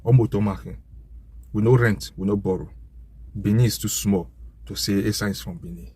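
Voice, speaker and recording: A man's voice: on the low side, steady tone, a little fast, mellow, slightly rough. A man with a Nigerian accent giving an impassioned, informal monologue, assertive and emphatic, often repeating his points.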